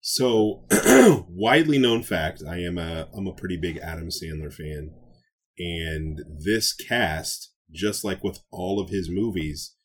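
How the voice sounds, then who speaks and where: A man with a deep, rough-edged voice that swings up and down as he talks. He chats casually as a podcast co-host and leans hard on his points, repeating them for emphasis. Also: expressive, slow. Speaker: co-host of a nerd news podcast. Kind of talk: casual chat.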